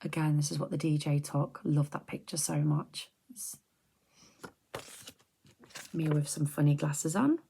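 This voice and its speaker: A middle-aged woman, chatting softly and rambling on a close mic for an ASMR video, often slipping into whispers. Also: deep voice, fairly even intonation, unhurried, creaky, pauses often, a little hiss or hum. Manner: soft-spoken, drifting into whispers, chatty and rambling. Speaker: ASMR creator. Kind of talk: soft-spoken ASMR chat.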